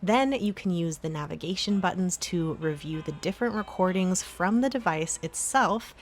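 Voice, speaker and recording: Woman narrating a tutorial, slightly low pitch. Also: expressive, normal speed, a little hiss or hum. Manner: clear step-by-step instructional delivery. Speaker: tutorial presenter. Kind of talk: scripted how-to narration.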